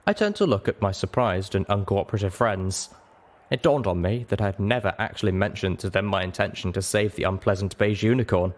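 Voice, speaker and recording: A man reading scripted first-person fiction as an audiobook narrator, with a dramatic, expressive delivery. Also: on the low side, fairly quick.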